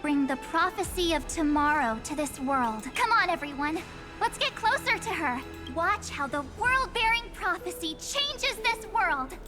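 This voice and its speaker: Casting: youthful playful female companion, high voice, game dialogue with music underneath. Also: expressive, a little slow. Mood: eager. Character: bright, playful young female companion narrating prophecy. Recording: music behind.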